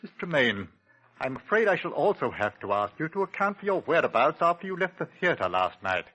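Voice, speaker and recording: An actor playing a formal, courteous police inspector in a 1940s radio drama, deliberate and politely insistent. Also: male voice, on the high side, big pitch swings, sharp tone, husky, heavily acted. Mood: stern, polite. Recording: old lo-fi 1940s radio recording.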